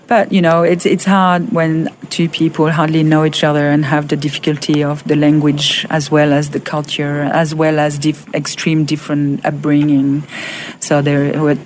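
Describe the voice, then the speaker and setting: A woman in her fifties from Monaco with a French accent, telling her personal story in an interview, halting with fillers and restarts. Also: sits low, flat delivery, darkish tone, a little hiss or hum. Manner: halting, many fillers and restarts, laughs often. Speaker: guest.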